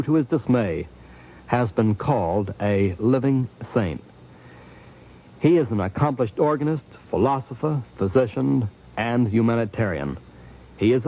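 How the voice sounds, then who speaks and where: A man hosting and narrating a scripted radio documentary in a muffled 1950s broadcast recording. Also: medium pitch. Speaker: host and narrator.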